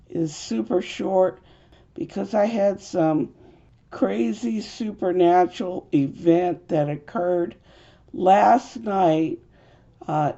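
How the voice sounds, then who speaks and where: A woman with an American accent, hoarse from a cold, earnestly explaining an urgent religious message in a halting way with fillers. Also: on the low side, mellow. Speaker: video host. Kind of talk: urgent religious video monologue. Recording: dull lo-fi home recording.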